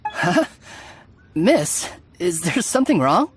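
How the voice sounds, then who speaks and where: A woman's voice: lowish voice, big pitch swings, slow, slight background noise. A woman playing a sly, glib bandit posing as a merchant in a video game. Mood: offended. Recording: game music behind.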